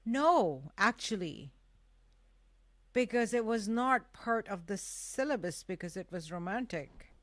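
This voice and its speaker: A woman's voice: mid-range voice, lively intonation, measured pace, a bit bright, no room sound. A woman whose first language is Urdu, hosting a casual live talk show with an effusive, emphatic manner that repeats phrases for emphasis.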